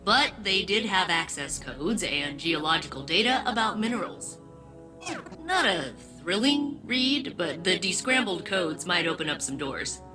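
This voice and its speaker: A woman's voice: on the low side, expressive, measured pace, sharp tone, soft background music. A woman playing a chatty, sardonic female artificial intelligence aboard a ship, giving mission briefings in a video game. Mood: dry, sardonic.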